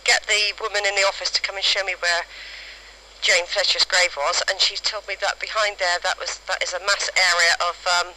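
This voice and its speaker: A woman recording halting, spontaneous field notes outdoors on a cassette recorder, describing things piece by piece. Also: lowish voice, level tone, bright, forceful tone. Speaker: amateur family historian recording an audio diary. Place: outdoors in a cemetery. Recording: faintly noisy amateur cassette recording.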